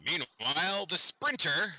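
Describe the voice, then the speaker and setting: Lively male game master, very bright tone, playful narration. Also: on the high side, measured pace, no room sound. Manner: animated, playful narration with sound effects. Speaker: dungeon master.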